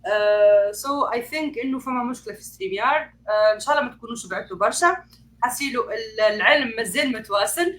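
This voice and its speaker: A woman with a Tunisian Arabic accent hosts an online event. She talks very fast in a slightly high voice and drops English and French words into her chat. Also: animated, a bit bright, slight background noise. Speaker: event host.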